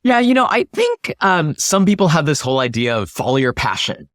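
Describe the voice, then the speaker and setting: A man with a slightly high voice talks as a guest in an interview. He explains ideas conversationally and emphatically, often posing questions to make his point. The sound is dry and close, with little room. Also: sing-song, fairly quick, fairly bright tone, no echo. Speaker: interview guest, startup founder.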